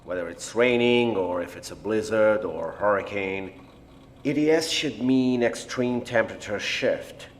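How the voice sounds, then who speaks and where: A man playing a reflective, apologetic scientist in an audio drama, explaining things in a measured, hesitant way. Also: lowish voice, lightly acted. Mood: focused. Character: blind male scientist, reflective, apologetic, technical project doctor.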